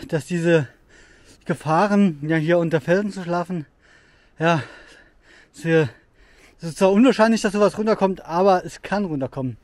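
High-voiced chatty man hiking outdoors. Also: a little mellow, dry recording. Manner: chatty and enthusiastic, with frequent fillers. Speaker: outdoor gear vlogger. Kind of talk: casual hiking vlog with gear reviews. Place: rocky forest outdoors.

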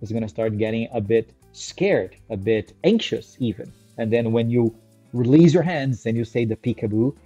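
A man's voice: mid-range voice, lively intonation, moderate pace, dark tone, slightly rough, dry recording, lo-fi sound, soft background music. A man in his thirties from Brazil, a native Portuguese speaker, giving a casual educational talk on infant care to a desk webcam in a small room, often checking in with "right?" and drawing out his list headings. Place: small indoor room with a screen on the wall.

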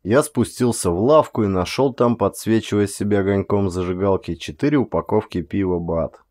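A man from Russia narrating an audiobook story in a dramatic, expressive reading, lifting his voice for quoted speech. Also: on the low side, moderate pace.

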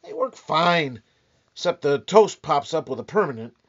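A man playing a groggy, grumpy husband in a radio-style comedy, tossing off deadpan wisecracks. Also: a little high, moderate pace. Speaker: community theater actor. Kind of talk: old-time radio comedy reading. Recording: dull lo-fi recording.

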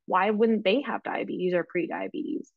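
A woman's voice: mid-range voice, moderate pace, dry recording, phone-quality sound. A young woman from the Canadian prairies, a dietitian, chatting casually and animatedly in an interview over a video call, with many filler words. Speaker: registered dietitian guest. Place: small home room, indoors. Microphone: laptop microphone on video call.

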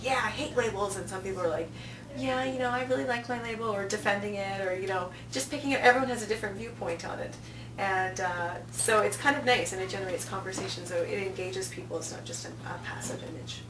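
A woman's voice: medium pitch, fast. A woman in her thirties speaking casually as an interview guest, telling anecdotes and acting out quoted remarks. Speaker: festival programming director, interview guest. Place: small office, indoors. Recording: roomy, distant mic, noticeable hum.

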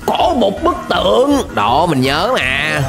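Young man, southern Vietnamese accent, high-pitched, very lively intonation, jokey reaction host. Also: slow pace, creaky. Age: young adult. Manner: exclamatory, jokey, with English interjections. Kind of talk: playful reaction commentary. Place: small indoor streaming setup. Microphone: close desk microphone. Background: music.

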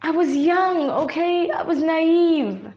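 Casting: actress as guilty, placating twin sister, slow halting apologies, melodrama, echoey room sound. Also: female voice, very high-pitched, animated, darkish tone, heavily acted. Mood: pleading. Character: guilty, pleading twin sister seeking forgiveness. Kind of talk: melodramatic audio-drama acting. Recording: echoey room, slightly muffled.

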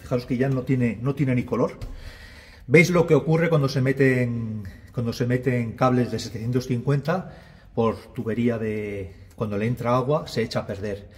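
A man's voice: mid-range voice, steady tone, normal speed. A middle-aged man, an electrician casually explaining his work to viewers and repeating words as he goes. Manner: talks to viewers as "chicos", repeats words, frequent "¿vale?". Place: small indoor restaurant back room. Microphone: body-worn camera, first-person view.

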